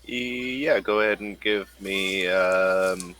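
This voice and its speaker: Low-voiced man running a tabletop game. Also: bright, forceful tone. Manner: halting, searching for words. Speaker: game master. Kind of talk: improvised narration of a tabletop roleplaying game.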